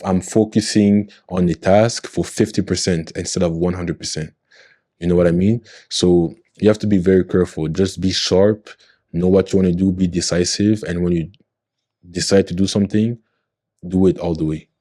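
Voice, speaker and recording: A man's voice: low-pitched, steady tone, normal speed, warm tone, dry recording, clean studio sound. A man in his twenties, a podcast guest, talking earnestly in a motivational way and often checking in with his listener. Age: 20s. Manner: earnest, motivational, often checks in with "you know what I mean". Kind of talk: casual podcast conversation.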